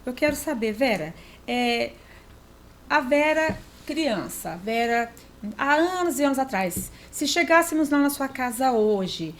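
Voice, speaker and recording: Brazilian female podcast host, higher-pitched, enthusiastic and effusive, slight noise behind the voice. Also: lively intonation, measured pace. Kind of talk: enthusiastic interview chat.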